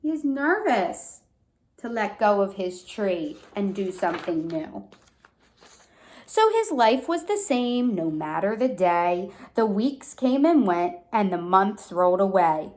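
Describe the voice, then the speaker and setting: A woman reading a storybook expressively to young children, pausing to explain simply and ask questions. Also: medium pitch, very animated, a little mellow. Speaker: read-aloud teacher for young children.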